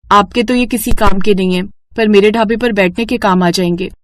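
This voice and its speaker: A woman's voice: medium pitch, steady tone, normal speed, no room sound, natural voice. A woman voicing a poor, orphaned young village woman, earnest, plaintive and polite, in a cartoon moral story.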